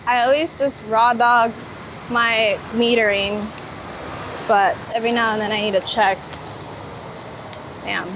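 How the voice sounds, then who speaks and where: A woman's voice: fairly high pitch, steady tone, unhurried, long pauses, fairly bright tone. A woman chatting informally and casually in an interview outdoors on a city street. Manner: informal, chatty, laughs easily. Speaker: street photographer, guest. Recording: noisy city street with traffic.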